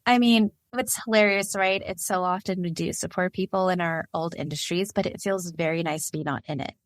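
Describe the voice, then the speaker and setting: A woman hosting a podcast interview, talking in an energetic, chatty way with quick asides. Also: on the low side, no echo, studio quality. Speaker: podcast host and career coach.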